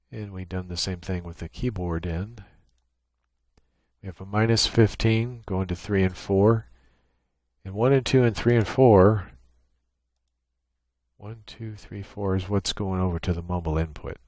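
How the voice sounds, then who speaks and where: Low-voiced man giving a technical walkthrough. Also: no echo. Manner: matter-of-fact, technical explaining. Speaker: software tutorial presenter. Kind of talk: unscripted screen-share walkthrough. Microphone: USB microphone with gate and compressor.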